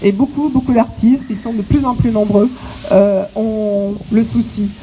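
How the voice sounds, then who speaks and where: A woman from French Guiana, an exhibition curator, giving an opening speech outdoors to visitors, pausing often with hesitations and restarts. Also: fairly high pitch, steady tone, mellow, a little hiss or hum, rough recording. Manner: halting, many hesitations and restarts. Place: outdoors on an island site.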